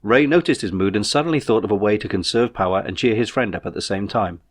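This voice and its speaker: A man reading his own novel as a scripted audiobook, in a measured storytelling manner. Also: lowish voice.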